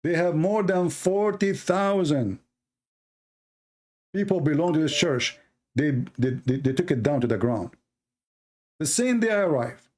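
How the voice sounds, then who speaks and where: A man's voice: fairly high pitch, lively intonation, unhurried, pauses often, a little mellow. A man with a somewhat raised voice hosts a live call-in debate and argues hard. He mocks his opponents with heavy sarcasm. His English is fluent, with non-native grammar. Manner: combative, mocking, sarcastic. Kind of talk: heated live-stream argument.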